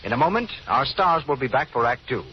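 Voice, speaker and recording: A man's voice: mid-range voice, very animated, fast. A man announcing a 1930s radio show and its sponsor message in a polished, formal, theatrical manner. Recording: muffled old radio recording, faint hiss.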